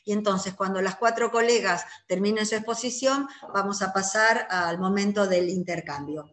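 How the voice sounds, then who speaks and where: A woman's voice: medium pitch, moderate pace, fairly bright tone. Woman moderating in an echoey room. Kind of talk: introducing speakers at an online panel.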